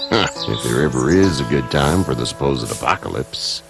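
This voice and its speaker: Very deep, gravelly old farmer. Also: male voice, expressive, moderate pace, music in the background, full character voice. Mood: reflective. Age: older. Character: gruff, shrewd old farmer, blunt, fatherly, proud of family. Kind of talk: video game voice acting.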